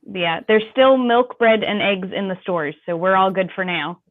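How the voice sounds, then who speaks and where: A woman's voice: mid-range voice, moderate pace, no echo. A woman panelist chatting casually and wryly over a lo-fi video call. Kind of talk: casual chat. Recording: dull lo-fi call audio.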